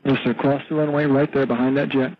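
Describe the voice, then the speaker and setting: A man's voice: medium pitch, level tone, fast, phone-quality sound, over a noisy crowd. A man working as an air traffic controller, giving terse instructions over aviation radio.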